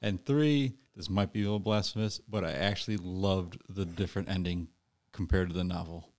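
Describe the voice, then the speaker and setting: A man's voice: low voice, a little fast, darkish tone. A man in casual podcast chat who stumbles and restarts before making his point.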